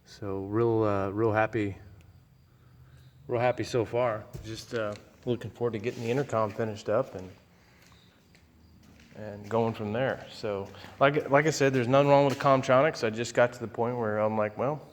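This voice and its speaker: A man with a fairly low voice talks through things casually and without a script. His delivery is folksy and rambling, with halting restarts. The sound rings with long reverb, like a big indoor space. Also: steady tone, a little hiss or hum. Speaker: aircraft owner and pilot. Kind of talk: casual unscripted walkthrough.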